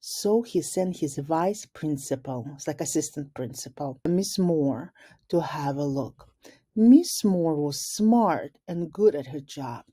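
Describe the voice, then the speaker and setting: A woman reads a children's story aloud with a very mellow, lowish voice. She goes slowly and deliberately, stepping aside to explain words in simple terms like a teacher. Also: slightly rough. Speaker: teacher reading a picture book aloud.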